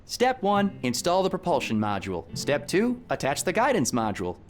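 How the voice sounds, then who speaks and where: Animated, eager young engineer. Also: male voice, on the high side, normal speed, faint music behind. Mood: proud, wry. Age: young adult. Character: eager, proud young male engineer and rocket inventor. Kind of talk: video game character dialogue.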